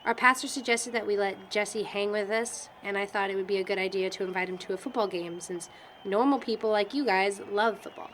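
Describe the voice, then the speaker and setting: A woman's voice: mid-range voice, a little fast, fairly bright tone, slight background noise, lightly acted. A young woman playing a hesitant, wry character in an audio drama. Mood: thoughtful, uneasy. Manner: hesitant, searching for words.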